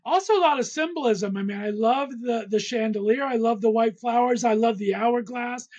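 Male interview host, high and husky voice, quick encouraging reactions. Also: darkish tone, lo-fi sound. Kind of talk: casual interview chat.

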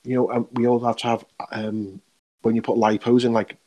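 A man's voice: mid-range voice, level tone, normal speed, warm tone, rough recording. A man hosting a podcast, chatting casually in a jokey, teasing, self-deprecating way. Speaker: podcast host. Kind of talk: casual chat.

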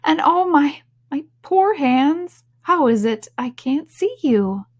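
A woman's voice: high voice, animated, slow, pitched above the performer's own voice. A woman voicing a bewildered, earnest little girl in a storybook, polite yet protesting. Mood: puzzled, anxious. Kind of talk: storybook character voice.

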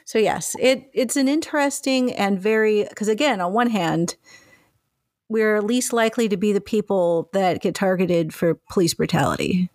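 A woman from Mexico hosting an interview, chatty and animated, acting out the remarks she quotes. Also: medium pitch, moderate pace, no room sound, studio quality. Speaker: interviewer, host. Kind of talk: casual interview conversation.

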